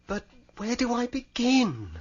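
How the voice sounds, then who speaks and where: A man playing a hesitant, easily discouraged young pupil in a fantasy audio drama, answering haltingly. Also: very high voice, sing-song, heavily acted. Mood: unsure. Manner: halting, unsure, short replies. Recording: slightly muffled, music underneath.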